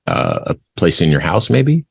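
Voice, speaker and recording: A man's voice: deep voice, huge pitch swings, normal speed, vocal fry, husky. A man hosting an interview show, polite and wordy, with many short affirmations as he introduces his guest. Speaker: show host.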